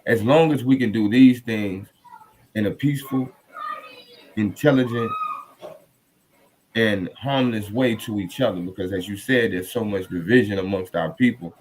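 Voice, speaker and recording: A man with a fairly low voice hosts a podcast over some background noise. He speaks courteously and reverently, feeling his way through phrases in a halting, conversational manner. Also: darkish tone, poor audio. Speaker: podcast host. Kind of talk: conversational podcast introduction and discussion.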